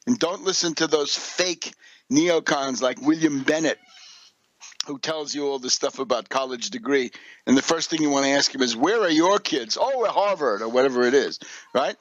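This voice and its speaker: A man hosts a political radio monologue in a somewhat high-set voice. He lectures didactically, posing questions and checking that the point lands. The sound is close and dry, with very little room around it. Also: moderate pace, dry recording, dull audio. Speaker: radio show host and political commentator. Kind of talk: political and economic commentary monologue.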